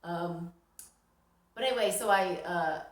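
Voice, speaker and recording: A woman's voice: lowish voice, measured pace. A middle-aged woman from New York tells a personal story casually and dramatically, acting out her reactions. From New York, United States. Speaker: storyteller, vlogger. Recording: roomy, echoing sound.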